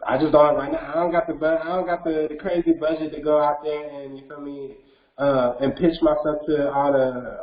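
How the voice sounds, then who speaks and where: A young man in his twenties speaks earnestly in a casual interview over a video call. He talks a bit higher than most men, restarts his sentences and keeps dropping "you feel me". The audio is roomy and dull. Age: 20s. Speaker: rapper guest.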